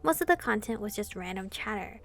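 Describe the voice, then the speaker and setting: A woman's voice: medium pitch, expressive, fairly quick, fairly bright tone. A woman gamer reading a visual novel aloud expressively, in the style of a casual playthrough.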